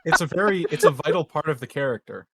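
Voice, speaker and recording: Guy player joking around n mock complaining, pitch all over the place. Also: animated, fast, vocal fry, fairly bright tone, breathy edge. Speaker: tabletop game player. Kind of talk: casual banter.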